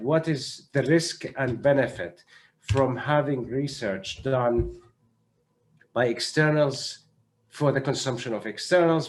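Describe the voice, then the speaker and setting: A man with a mid-range voice speaks as an expert panelist on an online call. He explains in a measured, thoughtful way, often restarting and repeating words to press his point. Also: mid-range voice, a little hiss or hum. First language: not French (French is third language). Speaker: panelist, doctor. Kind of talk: panel discussion, spontaneous explanation. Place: online video conference.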